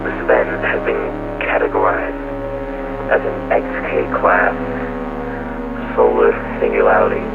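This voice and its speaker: Man voicing a grave leader, deep fry, widely swinging pitch, portentous, muffled broadcast, apocalyptic radio drama. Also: big pitch swings, heavily acted. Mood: grim, halting. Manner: halting, solemn, portentous. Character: grave male leader addressing the nation in apocalypse. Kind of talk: solemn emergency address. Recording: muffled lo-fi broadcast with music behind. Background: loud music.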